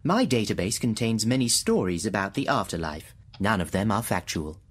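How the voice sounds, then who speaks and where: An actor playing an emotionless thinking computer in a radio drama, with formal, literal, clipped phrasing. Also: male voice, lowish voice, big pitch swings, normal speed, husky. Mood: insistent.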